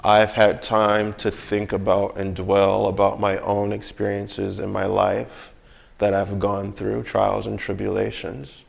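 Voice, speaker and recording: Slow, deliberate low-voiced man with creaky edge. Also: completely flat delivery. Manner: deliberate, emphatic, repeats phrases for weight. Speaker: host interviewing an illustrator. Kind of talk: reflective conversation.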